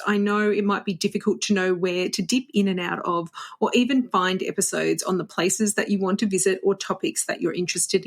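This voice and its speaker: A woman hosting a travel podcast, upbeat and chatty, sprinkling in Italian greetings. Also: mid-range voice. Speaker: podcast host. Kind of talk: casual interview chat.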